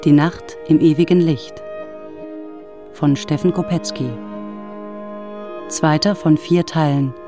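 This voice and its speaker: A woman's voice: deep voice, fairly even intonation, slow pace, pauses often. A woman reading a scripted title announcement for a radio drama. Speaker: programme announcer. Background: music with strings.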